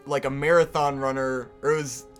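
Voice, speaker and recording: A man in his twenties chatting casually as co-host, animated and laughing easily, swearing for emphasis. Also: mid-range voice, a little fast, faint music behind. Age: 20s. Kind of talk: casual chat.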